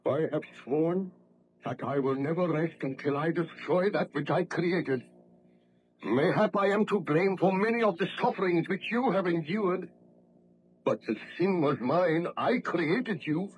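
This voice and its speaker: An actor playing a tormented, guilt-ridden baron scientist, speaking in a formal, anguished, declamatory way in a 1930s radio horror play. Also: male voice, fairly high pitch, animated, measured pace, dull audio. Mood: grim, resolute. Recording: noisy old 1930s radio recording.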